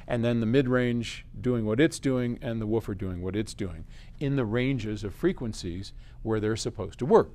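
A man's voice: mid-range voice, a little mellow. An American man in his seventies giving a casual explanation to camera in an informal, emphatic, conversational way. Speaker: company chief answering viewer questions. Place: large open office lobby, indoors.

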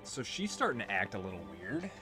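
A man's voice: on the low side, big pitch swings, brisk. A man giving casual commentary as he plays through a video game. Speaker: Let's Play commentator. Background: game music.

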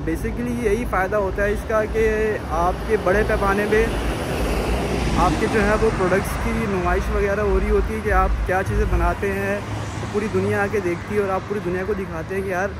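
A man from Pakistan with a Karachi Urdu accent talks into a phone camera in a chatty vlog style, walking viewers through each step. His voice sits high, and loud street traffic roars behind him outdoors. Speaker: vlogger. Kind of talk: casual vlog walk-through. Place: outdoors near a busy venue.

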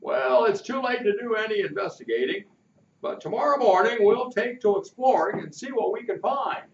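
A man's voice: high-pitched, lively intonation, normal speed, echoey room, far from the mic, lo-fi sound, pitched above the performer's own voice. An older man reading a storybook aloud, voicing a kindly camp leader captain in a raised, thoughtful, fatherly voice.

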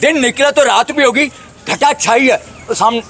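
High-voiced older man reciting couplets. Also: a bit bright. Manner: theatrical, jokey, drawn-out sung lines. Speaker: passerby reciting poetry. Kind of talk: playful shayari recitation. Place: outdoors on a lakeside promenade. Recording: faint outdoor noise.

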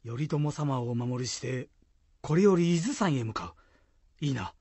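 A man's voice: medium pitch, expressive, normal speed, dark tone, slightly rough, no room sound. A man playing a loyal, plain-hearted samurai with humble, formal old-fashioned speech in a period radio drama. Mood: firm. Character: loyal, plain-hearted samurai warrior of medieval Japan, male.

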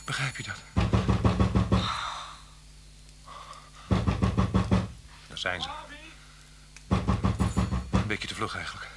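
An actor playing a resigned, remorseful wanted murderer in an emotional radio drama, halting and repeating his words. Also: on the low side, animated, close to an everyday voice. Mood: resigned, sorrowful. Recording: faintly noisy old radio recording with hum.

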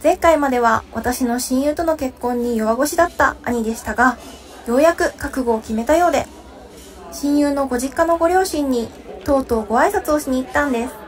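A woman's voice: sits high, fairly quick, close to an everyday voice. A young woman playing a caring younger sister, giving scripted narration in an audio drama in a friendly way. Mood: fond, hopeful.

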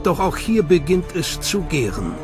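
Higher-pitched male documentary narrator. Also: sing-song, measured pace, over music.

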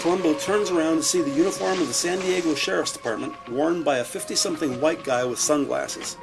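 A man narrates an audio drama in a measured, descriptive manner. His voice sits a little high and carries a marked creak. Music plays behind him. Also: rough recording.

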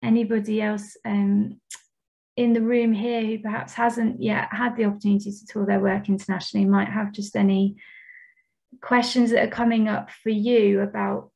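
A woman moderating an online panel, speaking in a measured, gently encouraging way. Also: medium pitch, flat delivery, creaky. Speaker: webinar moderator. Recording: dull lo-fi video call audio.

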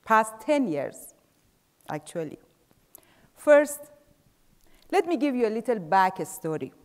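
A middle-aged woman from Iran with a Persian accent, giving a prepared talk on a theater stage in a measured way, her voice fading softly at the end of each phrase. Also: on the high side, big pitch swings. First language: Farsi. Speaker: speaker. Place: large dark theater stage. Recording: theater with long reverb.

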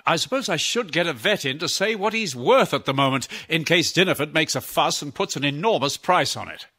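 A man's voice: fairly high pitch, lively intonation, a little fast, bright, forceful tone, husky, exaggerated voice. An actor playing a practical, courteous middle-aged father in a children's audio drama. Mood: businesslike.

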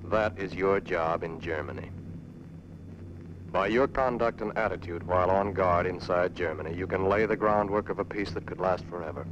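A man with an American accent narrating a 1940s military propaganda film in a stern, clipped, emphatic way with dramatic pauses. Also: low voice, background noise. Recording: muffled 1940s film soundtrack with music.

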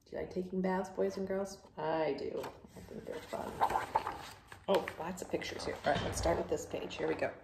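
A woman's voice: on the low side, normal speed, creaky, echoey room, background noise. A middle-aged woman reading a picture book aloud to young children, chatting and asking them questions as she goes. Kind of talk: children's read-aloud with asides to young listeners. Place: indoor room with toys.

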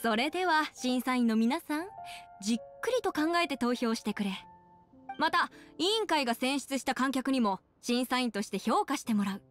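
A poised young woman hosting a contest, in a high voice. Her announcing is crisp, composed and official, in anime game style with music behind. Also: animated, moderate pace, a bit bright. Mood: formal, upbeat. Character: poised, brisk young female contest host.

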